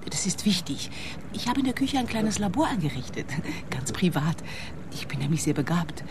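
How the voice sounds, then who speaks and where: An actress playing an eccentric, self-assured amateur gene tinkerer in a comic radio play, earnest and boastful. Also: female voice, on the low side, big pitch swings, normal speed, noisy background. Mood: dreamy pride.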